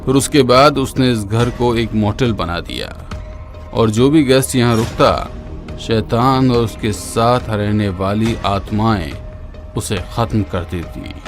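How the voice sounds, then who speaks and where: A man narrating a horror story in a steady, dramatic way, dropping lower at the grim moments. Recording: narration over loud background music.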